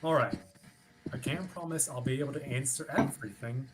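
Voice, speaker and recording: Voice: medium pitch, brisk, natural voice, rough recording. An actor in a staged play reading playing a chipper, rule-bound ship officer who is formal, wordy and politely stubborn. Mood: politely insistent. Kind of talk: staged reading of a play over video stream.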